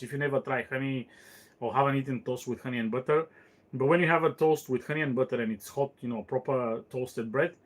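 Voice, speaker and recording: Man, podcast host, casual chat, fillers and restarts, mid-pitched voice. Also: fairly bright tone. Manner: thoughtful, meandering, with frequent fillers and restarts. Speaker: podcast host answering listener questions.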